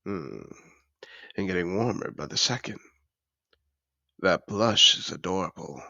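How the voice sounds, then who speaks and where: A man playing a seductive, possessive dragon in human disguise in an intimate fantasy audio roleplay, teasing and courtly in a slow, gravelly murmur. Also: lively intonation, sharp tone, dry recording, highs cut off, heavily acted. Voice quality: very deep, gravelly. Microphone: close, dry microphone.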